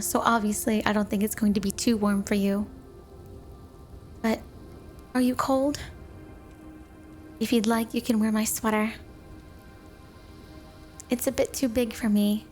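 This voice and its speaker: Woman acting a flirty, higher-voiced yandere snow woman. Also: fairly even intonation, unhurried, long pauses, darkish tone, soft background music, close to an everyday voice. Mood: doting, playful. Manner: flirty, affectionate, teasing, coy. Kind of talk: ASMR roleplay, intimate monologue to a listener.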